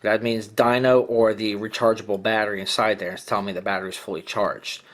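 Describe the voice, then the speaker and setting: A man's voice: on the low side, level tone, a little fast. A man giving a casual, hands-on product demonstration, explaining things in a plainspoken way. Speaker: product reviewer.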